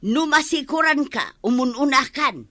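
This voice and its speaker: A woman playing a feisty, argumentative village woman who is slow to pay her debts, in an Ilocano radio comedy drama. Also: high-pitched, animated, bright, forceful tone, dry recording, full character voice. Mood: brisk, excusing. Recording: music behind the voice.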